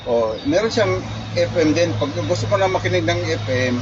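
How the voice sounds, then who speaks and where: A man with a slightly high voice chats casually through a product review, repeating himself and restarting sentences as he goes. The sound is muffled and lo-fi, with a light hum of background noise. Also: lively intonation, moderate pace. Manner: chatty, repeats himself, many restarts and fillers. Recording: muffled lo-fi recording.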